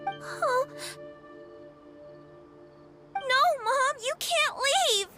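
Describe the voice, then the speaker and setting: A woman voicing a wide-eyed, excitable little girl, a childlike daughter in a video game. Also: very high voice, extremely slow, heavily acted. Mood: distressed. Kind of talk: video game character acting. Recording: game music behind voice.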